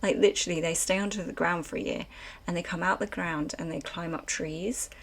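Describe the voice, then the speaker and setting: A woman's voice: on the low side, steady tone, fairly quick, creaky. A woman streaming a game live, chatting casually and rambling with lots of fillers at a desk microphone. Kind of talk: casual let's-play commentary chatting with chat.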